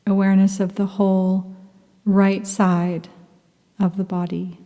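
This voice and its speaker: Slow, soft-spoken meditation teacher woman. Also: medium pitch, little pitch movement, big-room sound, lo-fi sound. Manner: soft, slow, soothing, half-whispered at times. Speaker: yoga nidra teacher. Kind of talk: guided meditation.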